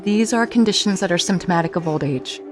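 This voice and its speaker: A woman's voice: fairly low pitch, quick pace, over music, natural voice. An actress playing a guarded, skeptical young woman in an audio drama, challenging and questioning what she hears. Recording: clearly noisy background ambience.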